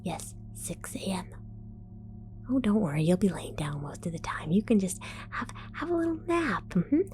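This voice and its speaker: A woman voicing a scatterbrained female mad scientist in an ASMR roleplay, whispering softly, giggling and stammering as she falsely reassures. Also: mid-range voice, very animated, normal speed, close to an everyday voice. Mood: sly whisper. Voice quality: soft whisper.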